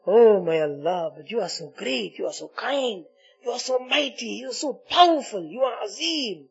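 A man with a husky, rough-edged voice leads a spoken prayer on a muffled, lo-fi recording. He pleads in short repeated phrases, moving between hushed invocations and loud, impassioned appeals. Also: high voice, animated, moderate pace. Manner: swings from hushed murmurs to loud cries. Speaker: religious leader leading a supplication. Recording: muffled, dull lo-fi audio.

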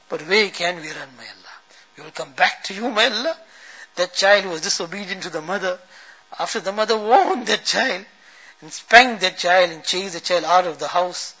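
A man leading an emotional supplication, chanting Arabic verse and pleading fervently, each refrain trailing off to a hush. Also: high voice, husky. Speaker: prayer leader. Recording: dull lo-fi recording.

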